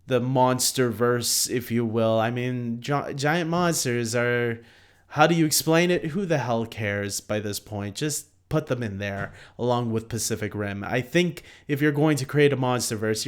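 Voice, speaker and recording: A man hosting a casual unscripted ranking video, talking to viewers in a halting, rambling, self-deprecating way. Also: mid-range voice. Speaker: host of a film ranking video.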